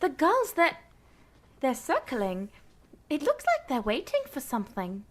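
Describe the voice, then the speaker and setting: A woman's voice: high voice, wildly swooping pitch, measured pace, heavily acted. A female performer playing a curious, innocent little girl in a vintage-style radio drama. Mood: plaintive.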